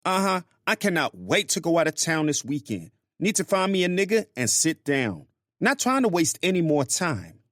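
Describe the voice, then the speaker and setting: A man voicing a young Puerto Rican woman from New York, a sharp-tongued house cleaner with a New York accent touched with Spanish, in a storybook reading. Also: a little high, very animated, moderate pace, no echo, clean studio sound, pitched above the performer's own voice. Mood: griping. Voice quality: attitude-laden, sharp-tongued.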